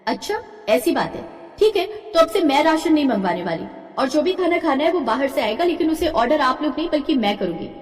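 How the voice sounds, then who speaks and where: A woman playing an exasperated, hard-working daughter-in-law in an animated family drama, aggrieved and emphatic. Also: high voice, brisk, faint music behind. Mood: indignant, determined. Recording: echoey hall-like reverb.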